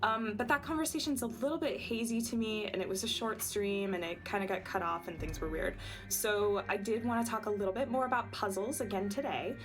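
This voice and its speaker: A slightly hoarse woman streaming a game, chatting casually and thinking aloud with many ums. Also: mid-range voice, brisk, music in the background. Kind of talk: casual livestream commentary.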